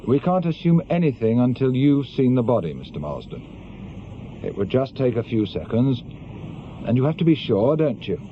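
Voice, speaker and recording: An actor playing a curt, commanding police chief inspector in a radio drama. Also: male voice, medium pitch, sing-song, normal speed, natural voice. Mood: grave, measured. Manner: curt, probing, authoritative. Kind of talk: naturalistic radio drama acting. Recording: dull lo-fi radio recording, noisy.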